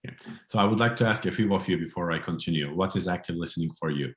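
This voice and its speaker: A low-voiced man runs a training session over a video call. He explains in a friendly way, his voice echoing in a roomy space. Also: fast. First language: Turkish. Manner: friendly, explanatory, light laughter. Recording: roomy echo.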